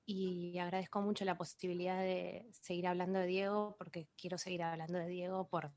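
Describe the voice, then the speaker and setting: A woman, a podcast guest, speaking softly and haltingly before picking up eagerly. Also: fairly low pitch, level tone. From Argentina.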